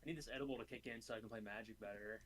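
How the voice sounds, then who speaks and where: A man's voice: fairly low pitch, fairly even intonation, racing, fairly bright tone. A young man chatting casually with a friend while playing a card game, offhand and dry, muttering his moves to himself. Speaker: streamer's friend. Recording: noisy background.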